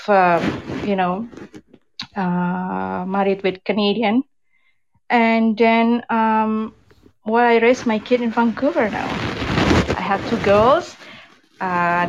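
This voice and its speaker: A woman's voice: medium pitch, fairly even intonation, slow, background noise. A woman in her fifties from Indonesia with an Indonesian accent, chatting candidly as an interview guest, her English loose in grammar. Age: 50s. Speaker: guest, relationship advice creator. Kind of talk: casual interview chat.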